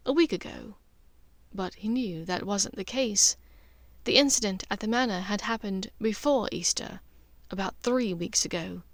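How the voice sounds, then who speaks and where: A woman's voice: medium pitch, no echo. Woman narrating a story, dry recording. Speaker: podfic author and narrator. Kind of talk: expressive scripted story narration.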